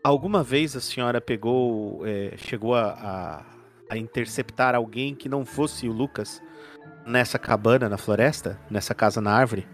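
A man voicing a polite, probing male detective in a tabletop game, formal and deliberate. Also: medium pitch, soft background music, lightly acted.

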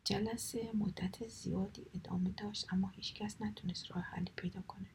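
A woman narrating a children's story entirely in a soft whisper. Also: mid-range voice, steady tone, slight background noise. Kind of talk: whispered bedtime story, ASMR.

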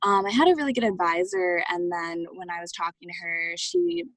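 A woman's voice: lowish voice, steady tone, fairly quick, fairly bright tone. A young woman talks through her answers as an interview guest. She is casual and chatty, leaning on "like" and "um" as she goes. The sound is dry and close, with no room echo. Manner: chatty, many "like" and "um" fillers.

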